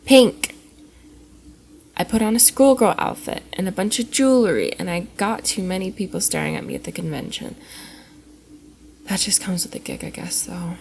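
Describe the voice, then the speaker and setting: A woman acting out a playful young female cosplayer in an ASMR roleplay, talking closely to one listener. She is coy, teasing and affectionate, slipping between bubbly chatter and soft murmurs. Her voice has a noticeable crackly fry, over audible background noise. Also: mid-range voice, moderate pace, long pauses, natural voice. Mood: chatty, wistful. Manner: giggly, coy, often dropping into soft breathy murmurs.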